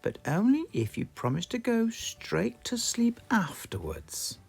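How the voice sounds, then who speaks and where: A man's voice: sits high, very animated, a little slow, vocal fry, husky, soft background music, pitched above the performer's own voice, exaggerated voice. A man with a British accent voicing a prim, patient mother pig in a children's storybook reading. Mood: gentle. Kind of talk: children's storybook read-aloud.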